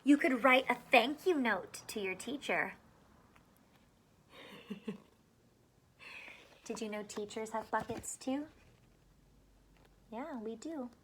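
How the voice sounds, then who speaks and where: A woman's voice: fairly high pitch, slow, long pauses, a bit bright, slight background noise. A woman teacher reading a picture book aloud to children, adding encouraging asides and questions.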